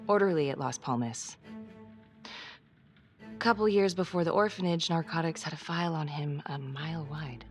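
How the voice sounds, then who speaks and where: An actress playing a weary, hard-bitten police officer in a crime drama game, dry, clipped and blunt, with a gravelly edge. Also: female voice, sits low, moderate pace, long pauses, lightly acted. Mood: dry, grim. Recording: music behind the voice.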